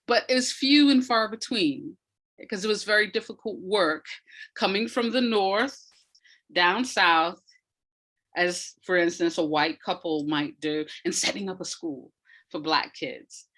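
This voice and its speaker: Bright-voiced woman explaining thoughtfully. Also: lowish voice, a little slow. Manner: explanatory, thoughtful, searching for words. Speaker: academic and audio dramatist, interview guest. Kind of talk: reflective conversational explanation.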